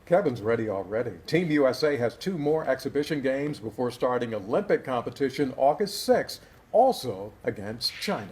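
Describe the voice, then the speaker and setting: A man's voice: medium pitch, very animated, darkish tone. Male news anchor reading a sports item. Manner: measured newsreader delivery. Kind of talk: scripted news read.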